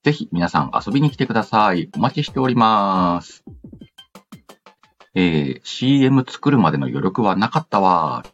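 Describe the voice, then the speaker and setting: A man's voice: on the low side, big pitch swings, moderate pace, fairly bright tone, lo-fi sound. A man hosting a casual radio-style show, reading out letters and announcements with playful asides. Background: background music.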